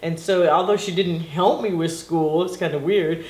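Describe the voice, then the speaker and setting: A woman's voice: on the low side, fairly even intonation, normal speed, darkish tone. A middle-aged woman whose first language is Portuguese, being interviewed at home, talking in a rambling, reflective way and laughing often. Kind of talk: casual reminiscing interview. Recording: echoey room with faint noise.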